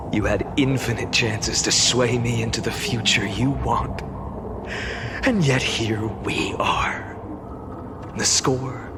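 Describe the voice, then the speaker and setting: Man acting weary young game hero, defiant, solemn, slow delivery, noisy ambient background. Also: mid-range voice, close to an everyday voice. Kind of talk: dramatic game cutscene acting.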